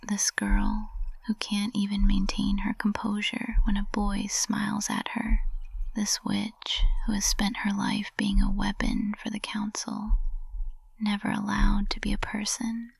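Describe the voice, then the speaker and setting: A woman acts out a witch professor in an intimate ASMR roleplay, whispering slowly and close to the mic. Her breathy hush has a dry closeness, and she sounds tender, fragile and possessive beneath a formal composure. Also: medium pitch, monotone, no echo, full character voice, rough recording. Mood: vulnerable, confessing. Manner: slow, hushed, intimate delivery. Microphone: close microphone.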